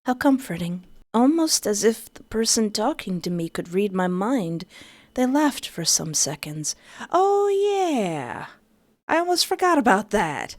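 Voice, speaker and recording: A woman's voice: medium pitch, very animated, a little slow, no echo. A young woman reading a visual novel aloud and breaking in with playful, joking asides. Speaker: game streamer.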